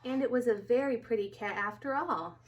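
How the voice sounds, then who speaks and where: A woman's voice: a little high, normal speed, lo-fi sound, lightly acted. A woman playing a fussy but caring very old woman in a storybook, speaking emphatically. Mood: sympathetic. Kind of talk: reader's theater character acting.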